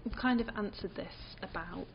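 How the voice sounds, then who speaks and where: A woman's voice: medium pitch, moderate pace, gravelly, noisy background. A woman interviewing casually, informal and agreeing, slipping quoted asides into her talk. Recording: muffled recording with background chatter.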